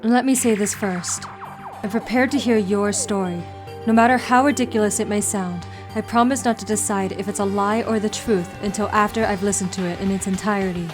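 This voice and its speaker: A woman plays a calm, analytical girl detective in an anime fan dub. Her delivery is measured and formal, at a middle pitch, each line placed deliberately, with music underneath. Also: close to an everyday voice. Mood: calm, probing.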